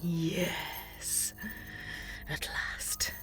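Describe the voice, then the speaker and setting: A woman's voice: whispered, over loud music. A woman playing a sadistic, theatrical alien warlord in an audio drama, with a purring, menacing delivery. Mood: gloating, seductive. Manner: languid, purring, drawn-out delivery with menacing relish.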